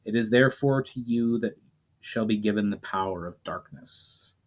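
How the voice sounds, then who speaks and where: A man voicing a solemn male leader who grants elemental powers to his friends, speaking in a measured way. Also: on the low side, lightly acted.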